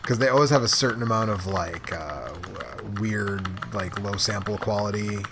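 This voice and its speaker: A man chatting casually as he plays a game, commenting in rambling, halting asides. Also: on the low side. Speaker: host playing an arcade game. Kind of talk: casual gaming chat and commentary. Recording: clearly noisy room, clicking controls and keys.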